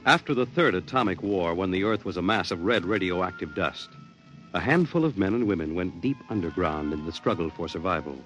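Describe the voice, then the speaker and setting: A man's voice: low-pitched, expressive, brisk, fairly bright tone, rough recording. A man delivering scripted, declamatory narration as the announcer of a 1950s radio drama. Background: music.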